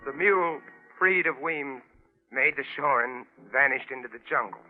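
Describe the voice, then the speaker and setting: A male actor playing a tense stranded adventurer, telling his jungle ordeal in a dramatic, deliberate old-time radio drama style. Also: medium pitch, close to an everyday voice. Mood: breathless dread. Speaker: actor narrating and playing lead. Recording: tinny vintage radio broadcast with music behind.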